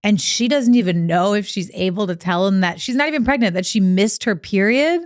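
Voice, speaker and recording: A young woman co-hosting a podcast, chatting casually and telling stories with quick opinions. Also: medium pitch, no echo, clean studio sound. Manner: animated storyteller, quick opinions. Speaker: podcast co-host. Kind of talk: casual recap chat.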